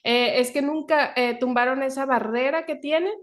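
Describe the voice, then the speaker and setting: A woman's voice: sits high, normal speed. Woman host in an echoey room. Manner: conversational, agreeing, asks follow-ups. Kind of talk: interview questions.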